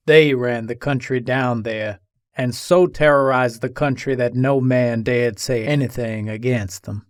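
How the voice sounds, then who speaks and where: A man plays an Old West gunfighter with a slow, measured delivery and a soft, modest manner. He sounds determined, as in a dramatic reading, recorded dry and close. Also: mid-range voice, no echo, close to an everyday voice.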